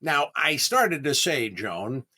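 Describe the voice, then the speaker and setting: An actor playing a vain, eager, easily flustered comedian in 1930s radio comedy style, with drawn-out exclamations and stammering. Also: male voice, a little high, sing-song, sharp tone, heavily acted. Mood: flustered, wooing.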